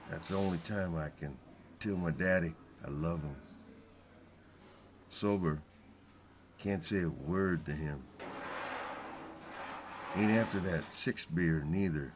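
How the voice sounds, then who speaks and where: A man giving personal testimony in short, halting, plain-spoken vernacular phrases. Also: sits low, a little hiss or hum. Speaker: former prisoner giving testimony.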